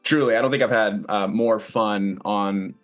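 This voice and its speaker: A man's voice: on the low side, measured pace, soft background music. Earnest male actor in casual interview. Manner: earnest, thoughtful, searching for words. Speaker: stage actor, interview guest.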